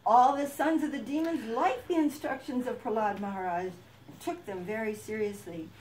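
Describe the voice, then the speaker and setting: A woman narrating a scripted puppet-show story in an expressive storybook manner. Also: on the high side, moderate pace. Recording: distant microphone, background noise.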